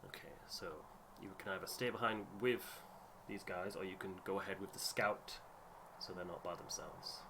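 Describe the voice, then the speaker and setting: A man's voice: deep voice, fairly even intonation, normal speed, background noise. A man doing a game playthrough, moving between dramatic story narration and casual chat. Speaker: game streamer reading out narration. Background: game music.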